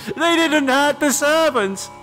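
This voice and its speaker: A man's voice: very high-pitched, expressive, slow pace, fairly bright tone, soft background music, voice pushed up, exaggerated voice. A man voicing a crazed, hateful mad scientist who rants and taunts in a horror audiobook. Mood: taunting, menacing. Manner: ranting, taunting, megalomaniac. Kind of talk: dramatic audiobook horror acting.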